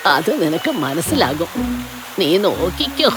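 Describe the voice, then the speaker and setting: A woman voicing a kindly, sympathetic old village woman in a fairy-tale cartoon, with soft, slow, soothing delivery. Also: on the low side, big pitch swings, music in the background, pitched below the performer's own voice. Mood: knowing. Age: older.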